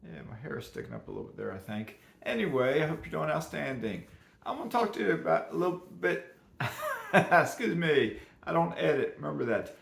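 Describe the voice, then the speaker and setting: A man with a rough, hoarse voice today, chatting casually and rambling about personal finance at home. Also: on the high side, huge pitch swings, a little fast, fairly bright tone, roomy sound, slight background noise. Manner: rambling, chatty, laughs at himself. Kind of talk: casual unscripted talk to camera.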